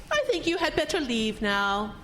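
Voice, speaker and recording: An actress plays a devout, proper woman in a radio play. Her voice is high and slow, stern yet flustered, as if refusing to admit she is afraid. Clear background noise sits behind her. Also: a bit bright. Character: prim, pious, indignant woman frightened but denying it. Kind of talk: scripted audio drama acting.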